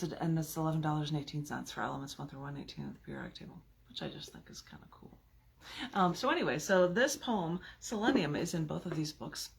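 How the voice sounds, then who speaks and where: A woman's voice: deep voice, gravelly, breathy edge. A woman reading her own poetry aloud in an informal, theatrical and emphatic way, with playful, chatty asides.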